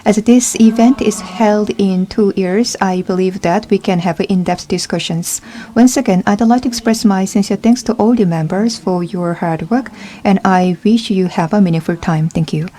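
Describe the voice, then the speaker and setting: A woman's voice: medium pitch, moderate pace, warm tone. A woman giving formal scripted congratulatory remarks as an academic, delivered carefully phrase by phrase. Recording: faint background noise.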